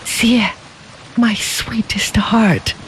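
A narrator voicing a loving but troubled young husband in an audiobook, speaking quietly and murmuring. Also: medium pitch, animated, measured pace, slight background noise, lightly acted, rough recording. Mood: tender. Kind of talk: audiobook character voice.

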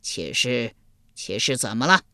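A stern old King of Hell rules over the dead in a spoken storybook tale, put on by a woman. He speaks slowly, low and commanding. The recording is close and dry. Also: sing-song, vocal fry, pushed and bright, dry recording, heavily acted. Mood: drawling. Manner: slow, booming, commanding.

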